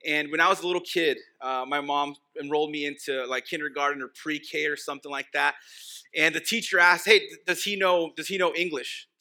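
Pastor, male, from Texas, Spanish as first language. Very bright, forceful voice on the higher side, informal preaching that hammers key phrases by repetition. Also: steady tone. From Texas, United States. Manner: repeats key phrases for emphasis, slows for weight. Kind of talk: sermon, conversational and informal.

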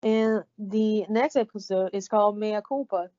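A woman with a Brazilian Portuguese accent, co-hosting a podcast in a halting, casual way, with many fillers and restarts. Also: medium pitch, dark tone. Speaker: podcast co-host. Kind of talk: casual recap chat. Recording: slightly dull lo-fi audio.

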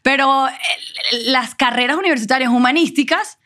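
A Venezuelan woman in her forties, a guest telling her story in a casual interview, animated and self-assured, laughing often. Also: sits high, moderate pace. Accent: Venezuelan Spanish.

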